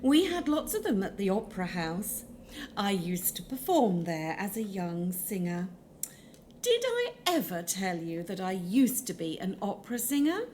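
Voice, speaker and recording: A woman's voice: mid-range voice, big pitch swings, unhurried, darkish tone. A woman playing a vain, theatrical ghost who was once an opera singer, speaking grandly in an audio drama. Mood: wistful, boastful. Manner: grand, self-important, dramatic. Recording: noticeable background noise.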